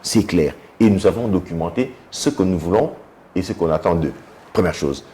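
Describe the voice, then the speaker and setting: Man, deep and warm, measured debate guest from home, slight room noise. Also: animated. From Democratic Republic of the Congo. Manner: measured, argumentative, many filler hesitations. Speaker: guest commentator. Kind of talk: televised political debate.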